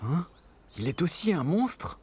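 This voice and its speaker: An actor voicing a meek, earnest young monster underling in an animated film, polite and hesitant. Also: male voice, fairly high pitch, unhurried, slightly rough, heavily acted. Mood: timid, curious. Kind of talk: animated film dub acting.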